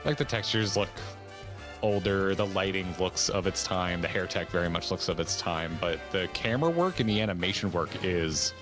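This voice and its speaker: Low-voiced man, casual game commentary. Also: lively intonation, moderate pace. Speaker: streamer reacting to game cutscenes. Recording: game music playing behind voice. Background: video game cutscene music.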